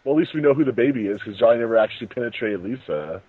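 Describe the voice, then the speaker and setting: A man's voice: mid-range voice, expressive, very fast, breathy edge. A man giving casual, joking film commentary with friends over an internet call, in a mock-dramatic way. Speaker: co-host of a movie riffing show. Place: remote voice call, indoors. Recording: tinny internet call, roomy echo.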